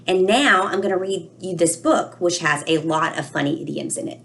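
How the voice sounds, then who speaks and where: A woman reading aloud and teaching children, explaining expressively and pausing to ask questions. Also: lowish voice, creaky, fairly bright tone. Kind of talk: read-aloud lesson with questions to young listeners.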